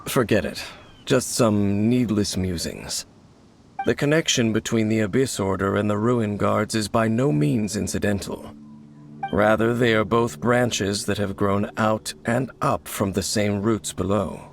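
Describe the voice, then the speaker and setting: An actor playing a brooding, secretive wanderer in a video game, speaking in measured, formal, grave phrases. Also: male voice, lowish voice, gravelly. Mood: cryptic, solemn. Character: brooding, secretive male wanderer investigating monsters, solemn and cryptic. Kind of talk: video game character dialogue. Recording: music behind the voice.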